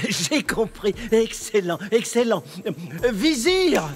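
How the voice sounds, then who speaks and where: A man playing an excitable, dim-witted sultan in a children's cartoon, hesitating and exclaiming. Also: high-pitched, takes it slowly, heavily acted. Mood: gleeful. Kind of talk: animated children's cartoon acting.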